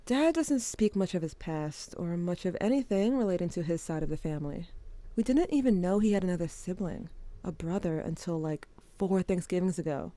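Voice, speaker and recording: A woman's voice: lowish voice, animated, normal speed, mellow, dry recording, close to an everyday voice. A woman acting as a young narrator recounting family memories in an intimate, wry, subdued and conversational way, in a scripted audio drama. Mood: wry, confiding.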